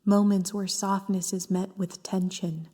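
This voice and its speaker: A woman leading a scripted guided meditation in a gentle, soft voice with breathy phrase endings and long pauses between short phrases. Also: medium pitch, little pitch movement. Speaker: therapist guiding a meditation. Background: background music.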